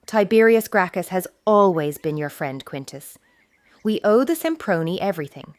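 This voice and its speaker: Woman playing a stern, animated Roman mother. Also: fairly low pitch, moderate pace, dry recording. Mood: reproachful. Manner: commanding, pointed. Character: stern, practical Roman mother, firm and reproachful. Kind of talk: historical audio drama acting.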